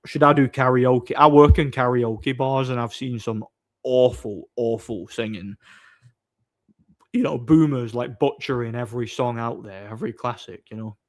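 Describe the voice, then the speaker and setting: A man's voice: medium pitch, steady tone, moderate pace. A man, chatting casually on a livestream, reading out viewer messages and answering with mocking boasts. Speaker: live streamer reading chat.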